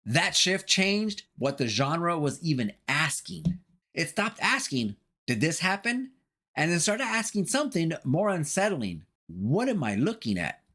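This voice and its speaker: A man's voice: fairly high pitch, sing-song, a little slow, a bit bright. A man narrating a scripted horror video essay.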